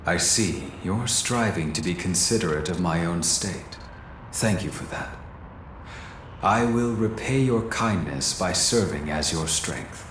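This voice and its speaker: Brief: a dutiful created being, low and precise, echoey sound. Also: male voice, a little slow, a bit bright, slight background noise. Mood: earnest. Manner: formal, precise, slightly stiff. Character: artificial male being, earnest and dutiful. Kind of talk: game cutscene voice acting.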